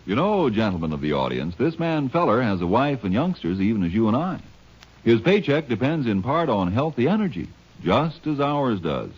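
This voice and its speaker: A man with an American accent giving an emphatic, folksy advertising pitch in 1950s radio style. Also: very animated, normal speed, husky. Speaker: commercial announcer. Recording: dull old radio recording.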